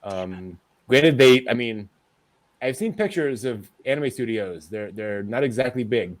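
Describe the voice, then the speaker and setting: A man's voice: mid-range voice, expressive, measured pace. A man hosting a news podcast, delivering items in a casual, conversational way. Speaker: anime news podcast host.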